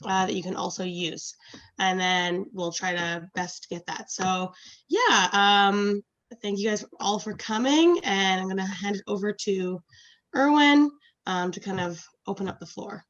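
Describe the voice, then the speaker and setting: A woman's voice: medium pitch, a little slow, fairly bright tone. A woman giving casual housekeeping announcements as an event tech coordinator, speaking informally and a little hesitantly on a video call. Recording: dull, muffled video-call audio.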